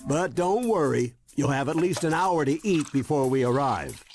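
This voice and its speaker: Gravelly, genial old grandpa. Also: male voice, on the high side, sing-song, normal speed, over music. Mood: matter-of-fact. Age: older. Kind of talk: cartoon video game acting.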